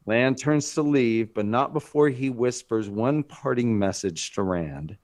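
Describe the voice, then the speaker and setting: A man's voice: mid-range voice, unhurried, darkish tone. A man reading scripted dramatic narration as the narrator of a screenplay.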